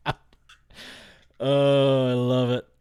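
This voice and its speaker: A man's voice: medium pitch, monotone, very slow, a little mellow, smooth. A man hosting a casual podcast, reading listener comments aloud and laughing often. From Ohio. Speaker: podcast host.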